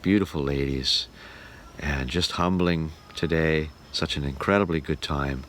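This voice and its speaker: Deep-voiced man, casual travel vlog, noisy recording. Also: measured pace, a bit bright. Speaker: radio show host and touring performer filming a travel documentary. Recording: clearly noisy outdoor and vehicle recording.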